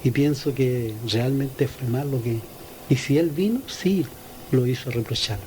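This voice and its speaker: A man giving an informal spoken account of a personal experience as an interviewee, halting and repeating his words. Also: medium pitch. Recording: noisy ambient field interview recording.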